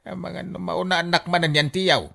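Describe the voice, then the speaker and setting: A man's voice: high voice, steady tone, unhurried, vocal fry, bright, forceful tone, exaggerated voice. A man acting a scheming grown son in a family radio drama, coaxing and insistent in his delivery.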